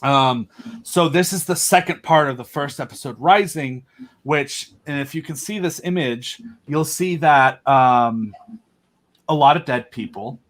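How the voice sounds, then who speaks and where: A man's voice: a little high, unhurried, a bit bright. A young man, a podcast guest, chatting casually and enthusiastically, full of fillers and restarts. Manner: rambling, enthusiastic, filler-heavy. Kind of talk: casual chat.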